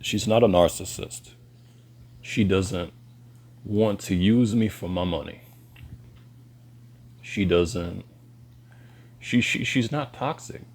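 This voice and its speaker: A man, lecturing bluntly in a halting monologue to camera. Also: darkish tone. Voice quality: deep voice. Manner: slow and halting, pauses and restarts. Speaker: vlogger.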